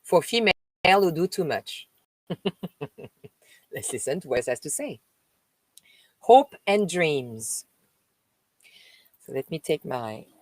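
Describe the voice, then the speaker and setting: A woman from France with a French accent, a spiritual host reading a text aloud and stopping for chatty asides, with slow, emphatic delivery. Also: sits low, a little mellow, dry recording. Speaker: spiritual live-stream host. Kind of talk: reading aloud with spoken commentary.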